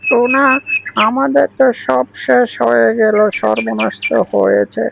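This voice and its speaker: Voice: mid-range voice, unhurried, gravelly. A voice actor playing a kind, trusting female songbird who talks in a reassuring, welcoming way. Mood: distressed. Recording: noisy recording.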